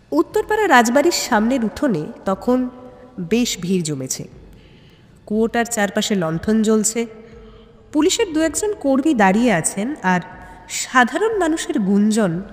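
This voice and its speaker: Female narrator for mystery audio story: highish, very animated pitch, suspenseful delivery, long reverb. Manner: expressive, suspenseful.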